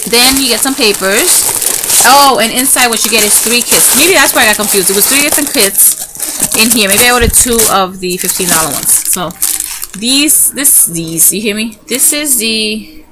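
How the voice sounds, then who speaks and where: A middle-aged woman chatting casually and gushing as she shows off craft supplies in a home video. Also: on the high side. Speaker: craft vlogger. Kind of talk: casual show-and-tell unboxing. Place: home room by a window. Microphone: camera in front of her, hands in frame. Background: TV and children's noise.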